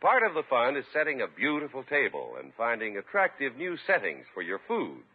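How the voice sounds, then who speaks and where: A man's voice: medium pitch, husky. A male announcer reading a 1950s radio commercial with polished, persuasive salesmanship. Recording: tinny, narrow-band old radio recording.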